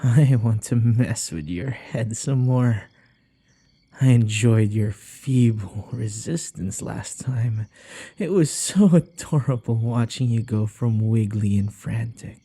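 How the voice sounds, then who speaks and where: Slow, breathy, possessive vampire acted by a man. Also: on the low side, warm tone, exaggerated voice. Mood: taunting, playful. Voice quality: breathy, often dropping into a whisper. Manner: slow, coaxing, taunting. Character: possessive, teasing male vampire who hypnotizes his captive. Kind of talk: ASMR boyfriend roleplay.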